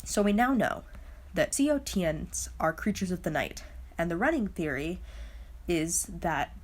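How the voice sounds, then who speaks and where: A woman with a slightly low voice hosts a video recap. She reads a casual script in a wry way, sounding openly baffled by what she presents. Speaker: host of a video explainer.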